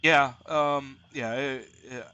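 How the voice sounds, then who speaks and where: A man narrating as dungeon master of a tabletop game, halting with many fillers, congested with a lingering cough. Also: medium pitch, fairly even intonation, pushed and bright, smooth, lo-fi sound. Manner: halting, many ums and uhs.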